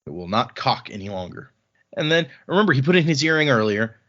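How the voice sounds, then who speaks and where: A male podcast host talks through a novel in a loose, conversational way. His voice sits at a medium pitch and he drops in wry, colloquial asides. The recording is a bit dull and lo-fi. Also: very animated, fast, fairly bright tone. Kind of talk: casual chat, informal book recap.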